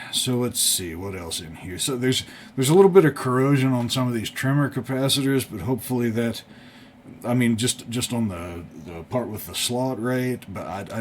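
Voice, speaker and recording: A man with a fairly low voice talks through a hobby repair at his workbench. His delivery is casual and unscripted, folksy and tentative as he thinks aloud. Faint background noise sits behind him. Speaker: host of a hobby electronics restoration video. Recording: clearly audible background noise.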